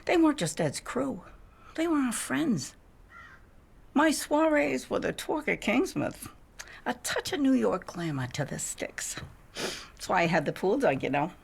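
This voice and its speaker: An actress playing a faded, reclusive older widow, wistful and wry, confiding theatrically in a game monologue with drops into whispers. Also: female voice, a little high, very animated, a little slow, full character voice. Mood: wry, nostalgic. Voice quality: husky, breathy with creaky edges. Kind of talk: dramatic video game monologue.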